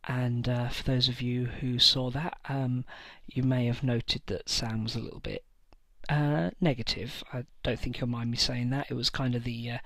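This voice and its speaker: A man hosting his own tabletop wargaming channel, talking casually through army lists with frequent pauses and filler words. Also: mid-range voice, level tone, a bit bright, dry recording. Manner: hesitant, many fillers and pauses. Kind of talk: casual list-building talk to camera.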